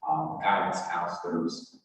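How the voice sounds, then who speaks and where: A man's voice: low voice, level tone, measured pace, a little hiss or hum. A man giving a formal, explanatory presentation as a school district administrator at a meeting. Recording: very echoey, reverberant hall, distant mic.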